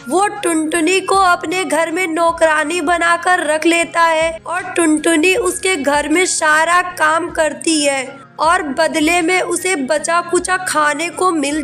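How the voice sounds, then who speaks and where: A woman narrates a children's cartoon story from a script. Her voice is extremely high and measured in pace, with music underneath. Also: fairly bright tone. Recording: music behind the voice.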